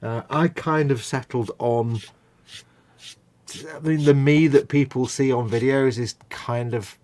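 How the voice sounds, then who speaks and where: A man's voice: mid-range voice, steady tone, measured pace, a little mellow. A British man hosting a live model-building stream, chatting casually and self-deprecatingly, often restarting his sentences. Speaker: host of a model-building channel. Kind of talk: casual chat on a livestream.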